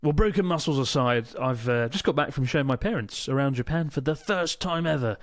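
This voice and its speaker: A man's voice: mid-range voice, a little fast, no echo. Podcast guy chatting, swears a lot, dead dry sound, mid voice. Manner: swears freely, animated storytelling. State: in pain from a strained arm muscle. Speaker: podcast co-host. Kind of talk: casual chat.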